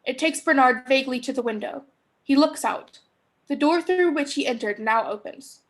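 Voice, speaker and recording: A woman's voice: high voice, normal speed. A young woman reading stage directions as scripted narration for a play. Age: young adult.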